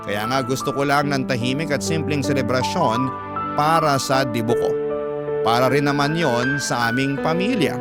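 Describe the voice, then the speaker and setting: A man reading a listener's story as a radio drama host, in measured, sentimental first-person scripted narration. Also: mid-range voice. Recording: loud music bed behind the voice.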